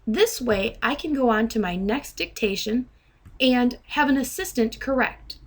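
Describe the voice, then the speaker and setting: A woman trainer narrating an instructional software demonstration, speaking deliberately and enunciating dictated commands. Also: on the high side, lively intonation. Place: voice-over to a screen recording.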